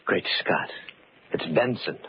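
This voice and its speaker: An actor playing a suspicious, evasive business partner in an old-time radio mystery, speaking in a formal, insistent, clipped way. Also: male voice, takes it slowly, natural voice. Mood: tense. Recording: muffled, noisy old radio recording.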